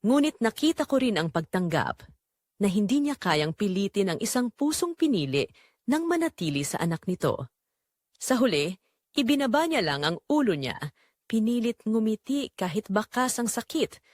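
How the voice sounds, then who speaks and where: Expressive Filipino woman narrating a drama. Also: mid-range voice, moderate pace, dry recording, clean studio sound. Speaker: storyteller narrating a Tagalog audio drama. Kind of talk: dramatic first-person scripted narration.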